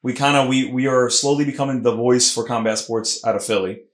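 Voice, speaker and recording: A man's voice: mid-range voice, steady tone, brisk. A man hosting a casual sports podcast, talking emphatically with lots of restarts. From Puerto Rican heritage. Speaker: podcast host. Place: Philadelphia podcast studio room. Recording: roomy echo.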